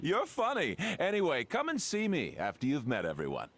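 A man's voice: fairly high pitch, huge pitch swings, a little fast, a bit bright, heavily acted. A man voicing an eager, pompous small-town mayor in a video game, effusive and self-important. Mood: effusive, self-satisfied. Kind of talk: video game character acting.

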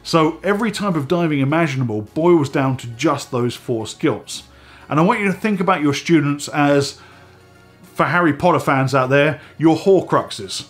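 A man's voice: on the high side, moderate pace, soft background music. Stuffy-sounding man hosting a vlog. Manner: chatty and animated, talking with his hands. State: stuffy, slightly hoarse from a head cold. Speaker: host. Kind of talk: casual direct-to-camera vlog. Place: home studio room with dive gear on shelves.

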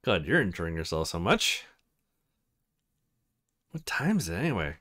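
A man's voice: deep voice, sing-song, measured pace, bright, forceful tone, voice pushed down, heavily acted. A man voicing an easygoing young man from a visual novel game, dryly teasing. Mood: sleepy, dry.

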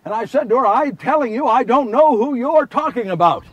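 Husky, high-pitched remorseful disciple, acted by a man. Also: animated, moderate pace, muffled recording, exaggerated voice. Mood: anguished, loud. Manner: earnest, confessional, theatrical, swinging from hushed to shouting. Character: Simon Peter, big remorseful fisherman disciple, anguished and earnest. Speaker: solo storyteller actor. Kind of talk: first-person dramatic monologue of a Bible story. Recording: distant microphone.

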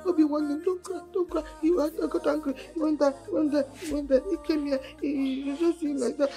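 A woman's voice: sits high, fairly even intonation, a little fast. An actress with a Nigerian accent playing a mentally disturbed, childlike young woman grieving in a film drama, rambling and repeating herself. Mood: agitated. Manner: repetitive, rambling, childlike speech.

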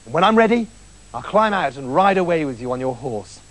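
A man's voice: on the high side, sing-song, a little fast, fairly bright tone, pitched below the performer's own voice. A man voicing a young captive fairy-tale maiden who talks in a frank, chatty, comically casual way. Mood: confiding.

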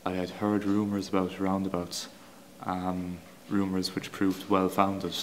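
Young man, low monotone, dry delivery, music under. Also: measured pace, slight background noise, rough recording.

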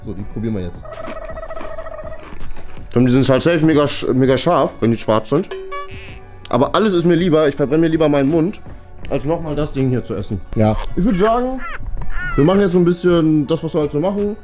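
A man's voice: a little high, expressive, fairly quick, long pauses, darkish tone, soft background music. A young man hosting a casual vlog with playful banter, recorded indoors on a handheld camera. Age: young adult. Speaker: YouTube host. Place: indoor office rooms.

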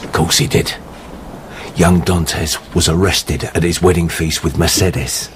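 Radio play: wary, sly barfly storyteller, low-pitched, wry and conspiratorial. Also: male voice, fairly even intonation, unhurried, a little hiss or hum. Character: seedy gossiping male tavern drinker, sly and wary. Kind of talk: radio drama acting.

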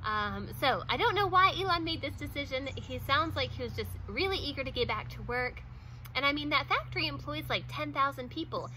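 A woman's voice: high voice, expressive, brisk, sharp tone, a little hiss or hum, low-quality recording. A woman in her thirties talking casually to camera outdoors, chatty and filling her talk with "like" and little laughs. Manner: chatty, many "like"s and small laughs. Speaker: vlogger. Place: outdoors in front of a wooden fence.

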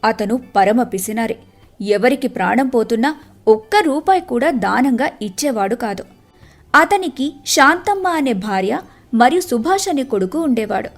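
High-voiced woman storyteller with music behind. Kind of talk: scripted moral-tale narration.

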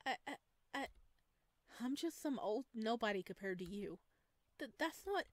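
A shy monster young man from a visual novel, read by a woman. He is gentle and easily flustered, with a hesitant delivery full of self-doubt. The sound is dry and close. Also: fairly high pitch, expressive, a little slow, no room sound. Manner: hesitant, stammering. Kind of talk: visual novel character reading.

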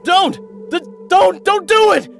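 A man's voice: extremely high pitch, takes it slowly, gravelly, soft background music, heavily acted. A young man playing an anxious, earnest superhero bridegroom who stammers, in an amateur comic dub. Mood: panicked, urgent. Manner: hesitant, stammering.

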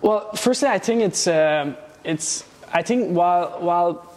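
A young man from Romania, a guest in a studio interview, talking casually with many pauses and restarts. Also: high voice, animated, darkish tone. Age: young adult. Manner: hesitant, many fillers and restarts. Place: studio set with plant wall and neon sign. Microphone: lapel mic.

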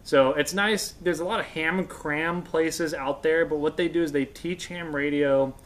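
Higher-pitched American man explaining casually. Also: steady tone, a little slow, a bit bright. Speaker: guest radio expert and instructor. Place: indoors on a couch in a workshop room.

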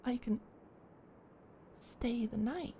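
A young woman in an ASMR roleplay, talking shyly and stammering as a flustered neighbor, sometimes dropping to a soft whisper. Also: a little high, very slow, slight background noise. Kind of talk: ASMR roleplay, one-sided dialogue.

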